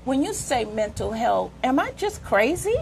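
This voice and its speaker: A woman's voice: fairly high pitch, big pitch swings, moderate pace, creaky, faint music behind. A woman hosting a talk show, asking earnest questions and telling a story of her own as she leads up to them. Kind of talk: interview talk.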